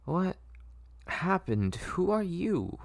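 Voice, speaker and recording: A man's voice: high voice, very animated, very slow, vocal fry, pitched above the performer's own voice, heavily acted. A man voicing a small, frightened, naive little girl who speaks hesitantly and stammers, in a story read-through. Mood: dazed, scared.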